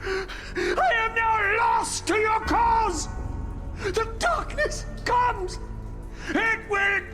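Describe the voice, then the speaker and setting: Crazed bitter lady in an asylum, game cutscene, high voice, slow n melodramatic, echoey. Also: full character voice. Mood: raving fury. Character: bitter, half-mad woman locked in an asylum. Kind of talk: video game cutscene monologue. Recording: echoey, distant voice under music.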